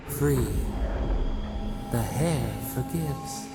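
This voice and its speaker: A slow, heavily creaking spirit voice from a video game, otherworldly and cryptic. It intones like an incantation beneath loud music. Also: mid-range voice, heavily acted. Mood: eerie, cryptic.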